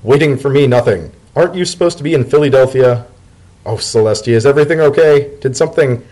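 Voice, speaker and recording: A man voicing a fussy, wry male pony civil servant in a story reading, with a dry, brisk and protective manner. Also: medium pitch, darkish tone, voice pushed up, close to an everyday voice. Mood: alarmed. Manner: dry, brisk, fussily caring.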